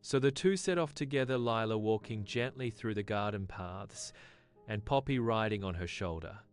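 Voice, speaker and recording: A woman's voice: a bass voice, normal speed, a little mellow. A woman narrating a scripted bedtime story for children.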